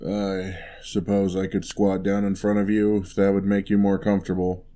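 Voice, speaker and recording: A man playing a huge, gentle, courteous giant in an ASMR roleplay, speaking softly with wry, teasing, old-fashioned politeness. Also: deep voice, little pitch movement, natural voice. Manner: unhurried, soft-spoken, old-fashioned courteous phrasing.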